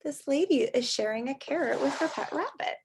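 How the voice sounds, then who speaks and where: A woman teacher leading a storybook read-aloud for young children, explaining words simply and asking questions in an animated, expressive way. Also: high voice, fairly quick, creaky, noisy background. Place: online video session with screen sharing.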